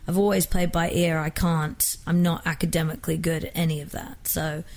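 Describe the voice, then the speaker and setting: A woman's voice: deep voice, steady tone, fairly quick, darkish tone. A young woman from England talks in a loose, conversational way, her English accent worn toward American. She is chatty and self-deprecating, and she often trips over and restarts her sentences. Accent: mixed English and American. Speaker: singer guest. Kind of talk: casual interview chat.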